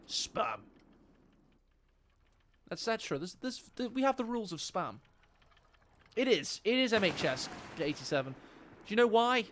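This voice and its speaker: High-voiced playful male game caster. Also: very animated, unhurried, long pauses, fairly bright tone, a little hiss or hum. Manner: playful, jokey, chats to viewers. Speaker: esports caster. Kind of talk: live game commentary on stream.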